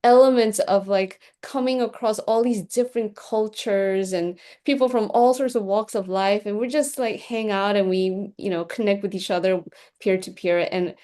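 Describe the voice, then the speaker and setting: A woman's voice: medium pitch, fairly even intonation, normal speed, mellow. Upbeat woman podcast host. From Korea. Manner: enthusiastic, conversational, frequent fillers. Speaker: podcast host and executive coach. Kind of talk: podcast interview.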